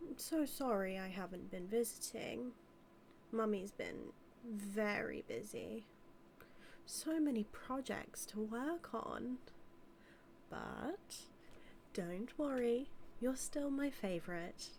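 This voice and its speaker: Slow, doting British mad scientist played by a woman. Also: a little high, lively intonation, slight background noise, heavily acted. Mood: doting, pleased. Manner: fond, theatrical, musing, softly dipping into breathy asides. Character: doting female mad scientist villain, motherly toward her monster. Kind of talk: scripted roleplay audio, intimate monologue to listener.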